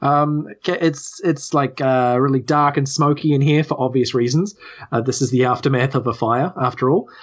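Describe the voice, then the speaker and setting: Male game master, New Zealand accent, improvised tabletop narration, mid pitch, a little lo-fi. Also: fairly even intonation, normal speed.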